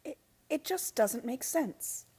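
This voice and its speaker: An actress playing a frustrated young heiress in an old-time radio play, earnest and full of questions. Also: female voice, high-pitched, expressive, takes it slowly, mellow, slightly rough, exaggerated voice. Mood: confused, exasperated. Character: frustrated, insistent young heiress seeking family secrets.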